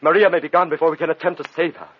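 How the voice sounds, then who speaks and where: An actor playing a desperate, devoted husband, earnest and pleading in a melodramatic old radio drama style. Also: male voice, fairly high pitch, fairly even intonation, racing, slight background noise, natural voice. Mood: urgent pleading. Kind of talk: vintage radio drama acting. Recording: dull old radio recording.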